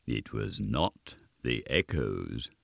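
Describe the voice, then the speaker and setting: Man voicing a slow, sneering deep-voiced goblin. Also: sing-song, creaky, no room sound, studio quality, heavily acted. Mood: stern, menacing. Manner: slow, deliberate, sneering questioning. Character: stern, mocking old male goblin with deep voice. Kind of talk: dramatised storybook reading.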